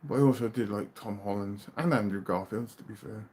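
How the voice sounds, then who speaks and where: A man's voice: fairly low pitch, a little fast, slightly rough. Chatty British man hosting a live chat. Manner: relaxed, chatty, trails off into quiet mumbles. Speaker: livestream host. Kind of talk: casual live chat reading viewer comments.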